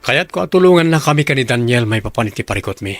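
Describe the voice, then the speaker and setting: A man's voice: medium pitch, animated, fast talker, fairly bright tone, no echo, faint music behind. A man with a Filipino accent playing a businesslike, authoritative company department head in a radio drama. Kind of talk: Ilocano radio drama acting.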